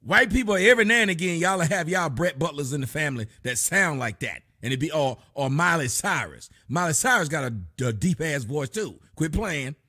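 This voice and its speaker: A middle-aged man hosting a livestream from his home, giving loud, mocking commentary full of cursing on a video clip. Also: a little high, lively intonation, moderate pace, few pauses. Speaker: livestream host. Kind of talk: profane comedic commentary on a clip. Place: indoor home room.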